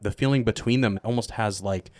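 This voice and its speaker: A man's voice: lowish voice, brisk, no echo, clean studio sound. A man talking casually as a cast member in a panel Q&A, explaining things in a wry, blunt way.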